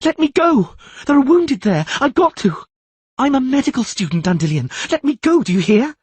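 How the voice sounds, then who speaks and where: A man performing a young woman in a panic, her voice pitched up sharply into a desperate, husky shriek. She sounds frantic and loud throughout, as heard in a dry storybook reading. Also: very animated, moderate pace, no room sound, full character voice. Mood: hysterical. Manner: screaming hysterically. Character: young woman, hysterical medical student.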